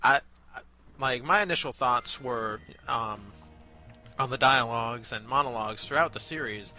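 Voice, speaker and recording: Bright-voiced man reviewing casually. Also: mid-range voice, normal speed, soft background music. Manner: enthusiastic, informal. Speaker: review show co-host. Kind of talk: casual chat, reviewing amateur voice acting.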